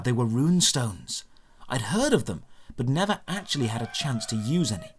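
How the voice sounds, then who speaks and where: Expressive male storyteller, lively pitch swings. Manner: dramatic, deliberate storytelling. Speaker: narrator of a fantasy audio drama. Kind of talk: scripted first-person story narration.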